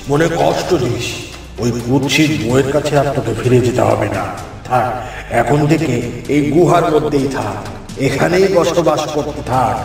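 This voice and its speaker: A menacing cave spirit king speaks in a heavy, creaky voice, taunting and passing judgment. The cartoon delivery is slow, set far back in deep echo with music behind it. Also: a little mellow. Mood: scornful. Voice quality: heavy, gravelly voice. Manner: slow, taunting, booming pronouncements. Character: menacing cave king spirit, judging and cursing trapped men. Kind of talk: cartoon fairy tale acting.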